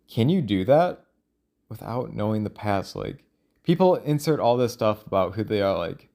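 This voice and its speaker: A man speaking in a casual, rambling monologue, thinking out loud in a halting, self-questioning way. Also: lowish voice, gravelly. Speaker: solo audio log creator.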